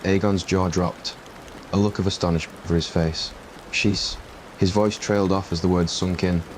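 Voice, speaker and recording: A man's voice: sits low, flat delivery, brisk, a little mellow, rough recording. A man reading scripted narration for an ASMR roleplay story. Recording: clear rain noise behind voice. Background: rain ambience.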